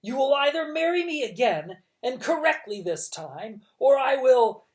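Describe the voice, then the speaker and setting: A woman voicing a scheming, menacing villainous man, with loud, drawn-out, theatrical threats. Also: high-pitched, big pitch swings, voice pushed up. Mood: threatening.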